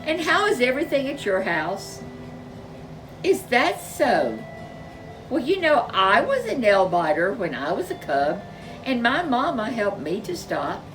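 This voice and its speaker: A woman's voice: a little high, huge pitch swings, a little slow, a bit bright, distant mic, faint music behind, voice pushed up, exaggerated voice, poor audio. A woman voicing a kindly old grandmother bear who chats and gushes in a storybook reading. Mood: kindly, chatty. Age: older.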